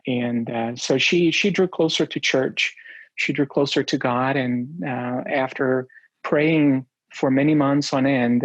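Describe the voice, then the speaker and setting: A man in his forties, originally from Romania, telling his life story in an interview, with many pauses and fillers. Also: mid-range voice, no room sound, highs cut off. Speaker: guest.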